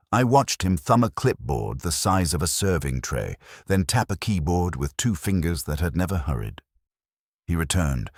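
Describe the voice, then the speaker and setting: Very deep-voiced man narrating detective fiction. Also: no echo, clean studio sound. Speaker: audiobook narrator. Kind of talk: scripted first-person detective story narration.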